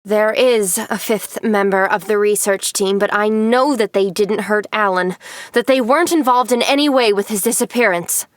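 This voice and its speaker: A woman's voice: mid-range voice, moderate pace, dry recording, natural voice. Polished, guarded femme fatale played by a woman. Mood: guarded, hesitant. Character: poised, secretive femme fatale wife. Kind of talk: 1940s noir radio acting.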